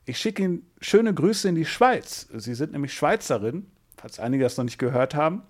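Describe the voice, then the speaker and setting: A man's voice: fairly high pitch, very animated, normal speed. A man hosting an interview podcast, talking casually and kindly, with ums and small fillers. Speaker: podcast host.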